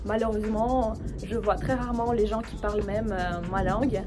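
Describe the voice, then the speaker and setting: A woman's voice: mid-range voice, fairly even intonation, normal speed. Woman chatting outdoors over music. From Ukraine. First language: Russian. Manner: hesitant, many fillers and restarts, friendly. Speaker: French teacher and video creator. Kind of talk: casual personal chat to camera. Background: background music.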